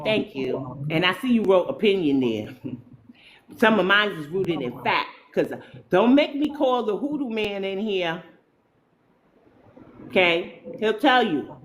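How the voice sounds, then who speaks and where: A woman's voice: low-pitched, slight background noise, low-quality recording. A woman with a New York accent hosting a livestream, talking straight to her chat in an opinionated, slangy way. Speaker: livestream host. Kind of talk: casual livestream talk.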